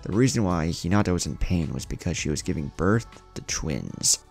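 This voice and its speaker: A man narrates a story in an exceptionally deep voice. His delivery is dramatic and lively, swinging in pitch, with a gravelly creak. Music plays underneath. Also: normal speed. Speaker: fan fiction narrator. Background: background music.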